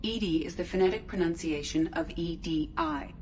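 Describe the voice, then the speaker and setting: Woman voicing a calm, formal female ship AI, lowish. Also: close to an everyday voice. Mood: calm, detached. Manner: measured, precise, detached. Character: calm, formal female starship artificial intelligence. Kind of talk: scripted video game dialogue. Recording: clear background noise, ship ambience.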